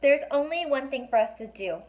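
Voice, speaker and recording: A woman's voice: high-pitched, expressive, a little fast, pitched above the performer's own voice. A woman acts out a fairy council member in a children's tale. The delivery is formal and severe, that of someone stern who expects to be obeyed. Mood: grave.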